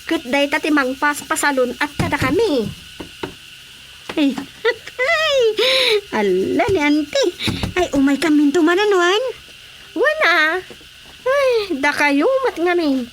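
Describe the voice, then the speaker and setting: A woman's voice: high-pitched, sing-song, slow pace, pauses often, background noise, exaggerated voice. A woman playing a cheerful, chatty rural aunt in an Ilocano radio comedy drama, exclaiming often. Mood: delighted.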